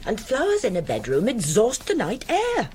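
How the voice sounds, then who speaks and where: Bossy, swooping, sharp-tongued older woman. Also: on the high side, huge pitch swings, a little hiss or hum. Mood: fussing, disapproving. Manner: scolding, candid, brisk. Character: bossy, disapproving older farming sister-in-law, sharp-tongued. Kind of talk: BBC radio drama acting.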